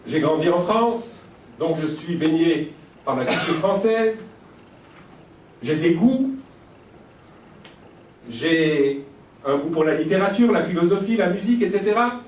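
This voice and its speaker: A man from Algeria giving a reflective, measured lecture at a conference, building his points through pauses and lists. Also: a little high, expressive, darkish tone, a little hiss or hum. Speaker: speaker at a conference. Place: conference hall. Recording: echoey hall from far off.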